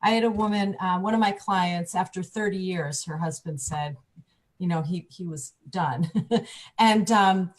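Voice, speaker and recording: A woman working as a divorce coach, explaining calmly on a talk panel, often restarting her sentences. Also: on the low side, measured pace. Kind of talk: panel discussion.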